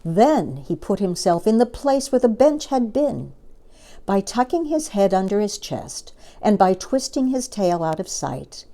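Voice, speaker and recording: A woman narrating a children's story as an expressive storyteller, reading from a book. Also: mid-range voice.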